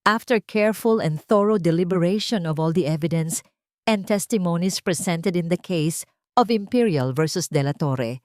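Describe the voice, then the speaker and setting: A woman's voice: lowish voice, normal speed, no echo, studio quality, close to an everyday voice. A woman voicing a stern, impartial judge who formally pronounces rulings in an audio story.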